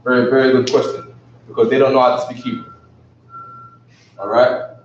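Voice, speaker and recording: A man's voice: mid-range voice, level tone, normal speed, slight background noise. A man teaching a casual live class, who keeps repeating himself and asking "you know what I'm saying?". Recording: echoey, distant, dull live stream.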